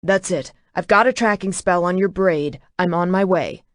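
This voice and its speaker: A woman's voice: on the low side, moderate pace, no echo, studio quality, lightly acted. A woman voicing a commanding male dragon shifter who speaks in curt, clipped orders. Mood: stern.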